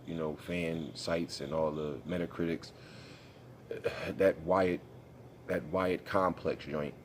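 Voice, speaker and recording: A man's voice: rumbling low, a little hiss or hum. A young man talking casually about a video game in a laid-back, rambling, slangy way, congested and sniffling. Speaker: gaming YouTuber. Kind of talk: casual vlog commentary.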